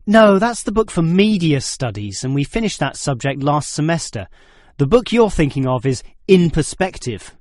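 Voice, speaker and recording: Male student played by a man, young, critical and precise, animated intonation, scripted dialogue, dry acoustic. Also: on the high side, normal speed, no room sound. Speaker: student in a listening test dialogue. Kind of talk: scripted exam dialogue acting.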